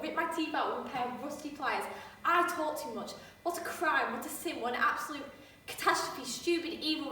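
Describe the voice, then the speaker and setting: A woman's voice: very high voice, pushed and bright, a little hiss or hum, heavily acted. Insecure chatterbox, defensive, self-interrupting; woman performing; distant, heavy echo. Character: anxious overtalking woman Leah, insecure and defensive. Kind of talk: stage monologue. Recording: echoey room from far off.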